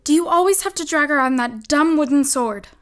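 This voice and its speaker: A high, girlish voice from a woman acting as a bossy big sister in a period audio drama. She coaxes and commands with theatrical flair, always scheming. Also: fairly quick, natural voice. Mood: coaxing. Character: bossy, scheming older sister, a girl in an old-fashioned household.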